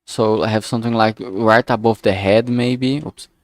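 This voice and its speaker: A man's voice: on the low side, steady tone, normal speed, dry recording. A man thinking aloud casually as he works, speaking hesitantly and often trailing off mid-thought. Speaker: streamer making a visual novel.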